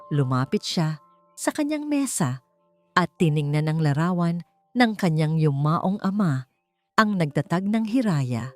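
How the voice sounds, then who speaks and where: A woman narrating a romance audiobook in a slow, solemn storytelling manner. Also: sits low, no room sound. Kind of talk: scripted dramatic narration. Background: soft background music.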